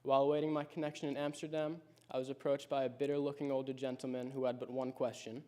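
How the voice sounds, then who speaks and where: A high school senior in his late teens delivering a prepared personal speech, telling his story in a reflective, measured way. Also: little pitch movement, warm tone.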